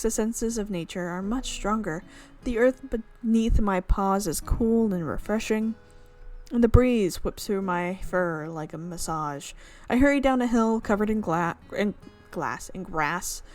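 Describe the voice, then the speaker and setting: A young woman reading a visual novel aloud and tossing in casual, chatty comments and giggles. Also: medium pitch. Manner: casual, chatty asides and giggles between narration. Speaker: let's play streamer.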